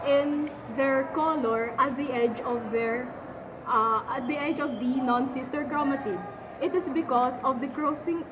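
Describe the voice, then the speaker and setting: Woman, high voice, halting scripted science explanation, slow delivery, amateur sound. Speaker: student presenter playing a doctor. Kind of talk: scripted explanation in a biology puppet show. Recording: clearly noisy amateur recording.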